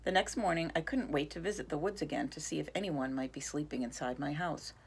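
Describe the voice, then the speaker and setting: Low-voiced woman reading a storybook. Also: fairly quick, vocal fry, breathy edge. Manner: expressive, speaks to young listeners. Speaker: reader. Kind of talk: reading a picture book aloud.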